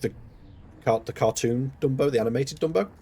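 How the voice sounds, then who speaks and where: A man's voice: mid-range voice, a little fast, warm tone, a little hiss or hum. A man with a Mancunian accent chatting casually while live-streaming a game, rambling and laughing often. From Manchester, England.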